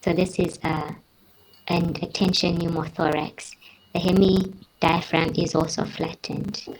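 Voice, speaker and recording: A woman's voice: lowish voice, flat delivery, a little slow, a little mellow, slight background noise, rough recording. A woman giving a medical teaching presentation, explaining in a careful and somewhat hesitant way.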